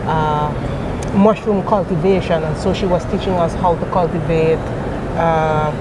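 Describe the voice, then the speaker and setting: A woman from Guyana, a PhD student, recounting her path into her field in an oral history interview with careful, measured storytelling. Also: deep voice, fairly even intonation, very noisy. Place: outdoors at a conference venue.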